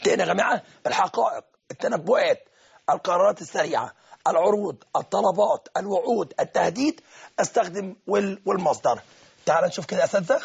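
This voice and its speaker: Man, Egyptian Arabic accent, high voice, raspy, teacher explaining grammar phrase by phrase. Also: animated, fast talker, dull audio. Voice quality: husky, gravelly voice with frequent throat-clearing. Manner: step-by-step explaining, translating each phrase, addressing the class. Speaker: English teacher.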